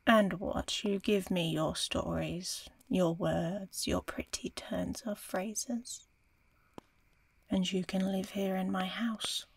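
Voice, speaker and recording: A woman voicing an aloof, curious, teasing female dragon in a fantasy ASMR roleplay, breathy and often whispering, slow and musing. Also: medium pitch, steady tone, dry recording, heavily acted. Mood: haughty, probing. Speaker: audio roleplay performer.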